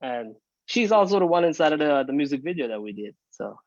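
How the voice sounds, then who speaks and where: A man's voice: on the high side, very animated, fairly quick, no echo, lo-fi sound. A young man from Guyana, a guest singer talking easygoing and laid-back over a phone video call.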